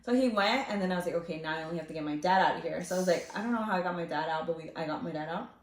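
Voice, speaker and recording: A woman co-hosting a casual podcast chat with friends, telling a story with lively acted-out quotes. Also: fairly low pitch, very fast. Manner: animated storyteller, acts out quotes. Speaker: podcast co-host. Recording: echoey room.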